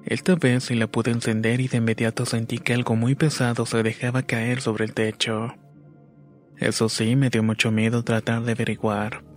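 A man reading a scripted horror story as a narrator, in a measured, deliberate way. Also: mid-range voice. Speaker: narrator of listeners' paranormal stories. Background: background music.

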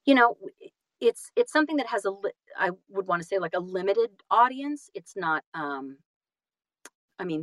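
A woman speaks as a guest in a casual interview. Her voice sits a little low and the sound is very dry. She is effusive, often breaking off and rephrasing as she goes. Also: no room sound. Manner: effusive, halting and self-correcting. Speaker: interview guest, singer and audiobook narrator.

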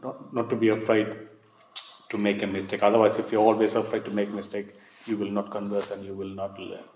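An echoey room carries the low voice of a man from Sri Lanka, speaking with a Sri Lankan accent. He is an interviewee in an oral history, recalling his life and often circling back over his own phrases. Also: completely flat delivery, fairly quick, darkish tone, a little hiss or hum.

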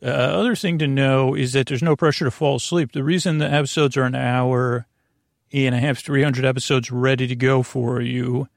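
A man hosting a sleep podcast in a rambling, goofy bedtime monologue, halting often, trailing off and restarting in friendly banter. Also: mid-range voice, fairly even intonation, vocal fry, no room sound, studio quality.

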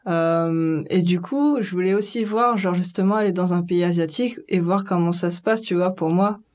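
A woman's voice: on the low side, normal speed, a little mellow. A young woman from France chatting casually in a slangy way, with lots of fillers. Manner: chatty, loose slangy speech full of fillers. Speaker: interviewee. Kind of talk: casual conversation.

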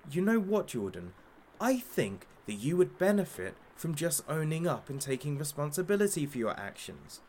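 An actor playing a menacing, sardonic talk show host in a satirical audio drama, with a theatrical, taunting manner. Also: male voice, fairly high pitch, very animated, moderate pace, hardly any pauses, a little mellow, slight background noise. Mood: scornful, taunting.

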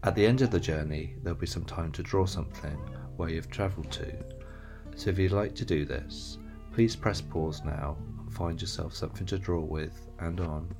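Really deep croaky guy doing a measured scripted podcast intro, guitar music playing under him. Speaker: presenter introducing an audio piece. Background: plucked guitar music.

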